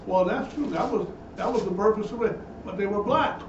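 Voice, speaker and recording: A man's voice: sits high, fast talker. A man preaching a Bible teaching sermon as a minister, emphatic and repetitive, building in intensity. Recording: echoey, distant, dull room sound with noise.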